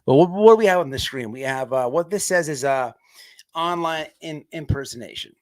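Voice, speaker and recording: A man hosting an online show with off-the-cuff livestream commentary, halting as he repeats words and restarts. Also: a little high, expressive.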